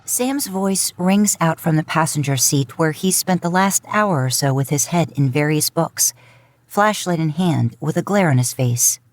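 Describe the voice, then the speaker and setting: A woman narrating a fan fiction audiobook in a steady storytelling manner. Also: sits low, unhurried, no room sound.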